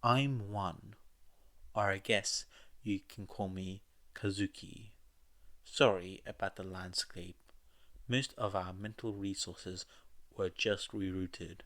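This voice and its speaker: Man playing a solemn, slow-spoken young mentor. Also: sits low, fairly even intonation, a bit bright. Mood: apologetic. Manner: measured, earnest, apologetic.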